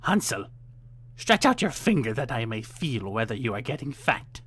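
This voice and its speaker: A man voicing a wicked old witch from a storybook, with a theatrical, menacing, drawn-out delivery. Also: medium pitch, very animated, a bit bright, breathy edge. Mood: bossy, menacing. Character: wicked old witch, cajoling then cruel and impatient. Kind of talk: dramatized fairytale storybook reading.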